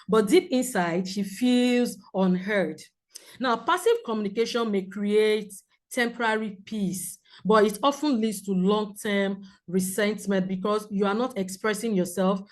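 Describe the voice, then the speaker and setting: Woman counsellor, loud voice, explaining things slowly and clearly to a room. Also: mid-range voice. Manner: clear, measured explaining with examples. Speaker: premarital counsellor. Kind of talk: teaching a seminar talk.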